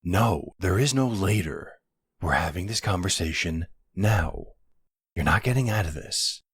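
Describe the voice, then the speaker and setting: A man plays an anxious boyfriend in an intimate roleplay drama. His voice is very deep and husky, and he talks slowly and earnestly. He keeps pressing his partner with pleading, exasperated concern. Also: expressive, no echo, studio quality, full character voice. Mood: concerned, disbelieving.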